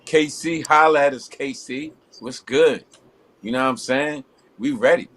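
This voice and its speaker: Hyped man singer giving shout-outs. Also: mid-range voice, unhurried. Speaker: R&B singer, guest.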